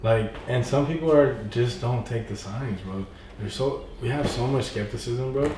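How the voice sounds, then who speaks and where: A man's voice: normal speed, mellow, far from the mic. A young man on a podcast, chatting casually in slang and acting out quotes with big jumps in his voice. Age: young adult. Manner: slangy, full of "you feel me", acts out quotes with big pitch jumps. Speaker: podcast guest. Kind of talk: casual chat. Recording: roomy, off-mic with faint noise.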